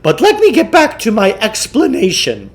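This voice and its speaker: A man reading a children's novel, voicing a pompous, theatrical, eccentric salesman who lectures with deliberate self-importance. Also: sits high, huge pitch swings. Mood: boastful. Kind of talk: children's novel read aloud in character.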